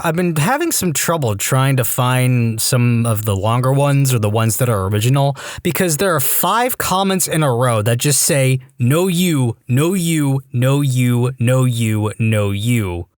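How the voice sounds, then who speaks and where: A male narrator reads posts aloud with a wry, animated delivery and a pitch that swings up and down. His voice is middle in pitch and recorded dry and close, with no room sound. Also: no room sound. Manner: lively, wry reading. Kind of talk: storytime narration.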